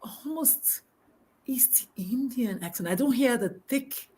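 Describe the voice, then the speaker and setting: A woman with a Nigerian accent hosting a live interview, talking in an excitable, rambling, effusive way. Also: a little high, slow, slightly rough. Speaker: host.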